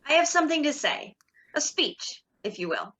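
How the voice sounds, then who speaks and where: A woman's voice: high-pitched, big pitch swings, normal speed, sharp tone, full character voice. A young woman playing a sardonic, exasperated sorority leader in a dramatic reading, theatrical and emphatic. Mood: frustrated, earnest.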